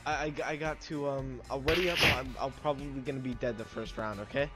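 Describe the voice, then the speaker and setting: A man's voice: medium pitch, steady tone, quick pace, loud music behind the voice. A young man in a gaming voice chat who rambles and stumbles, repeating his words on a headset mic. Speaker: friend in voice chat.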